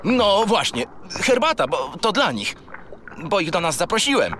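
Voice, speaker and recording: A man playing an excitable, cheerful young triton, a sea creature, in a children's audio play. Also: sits high, normal speed, slight background noise, heavily acted. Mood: eager. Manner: excitable, eager. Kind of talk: children's audio drama acting.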